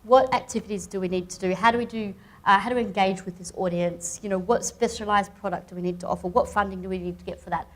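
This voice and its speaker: A woman's voice: mid-range voice, steady tone, quick pace, hardly any pauses. A woman on a discussion panel making her point emphatically with rhetorical questions.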